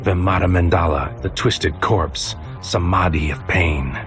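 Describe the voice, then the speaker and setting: An actor playing a world-weary psychic agent in a noir audio drama, speaking in a terse, brooding, deliberate way. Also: male voice, low voice, fairly bright tone, faint music behind. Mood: grim, brooding. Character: world-weary psychic agent man narrating his dangerous mission.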